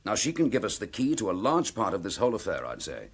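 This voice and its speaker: An actor playing a decisive, protective British police inspector under suspension, speaking briskly and with command in a radio drama. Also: male voice, lowish voice, wildly swooping pitch, husky, full character voice. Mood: measured, resolute.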